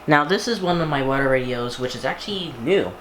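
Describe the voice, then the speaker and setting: A man's voice: a little high, lively intonation, fairly quick, fairly bright tone, a little hiss or hum. A man giving casual, hands-on unboxing commentary, informally talking through each small action as he does it. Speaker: hobbyist video creator presenting an unboxing.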